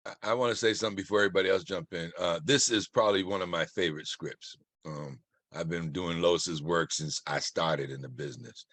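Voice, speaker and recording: An American man with a fairly low voice talks informally as an actor commenting at a Q&A. The sound is very dry, with no room around him. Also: brisk, a bit bright, dry recording.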